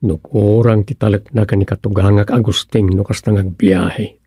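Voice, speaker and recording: An actor with an Ilocano accent playing a fretful, muttering son-in-law in a radio comedy drama. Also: male voice, lowish voice, steady tone, moderate pace, warm tone, breathy edge, no room sound, clean studio sound. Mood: pensive, worried.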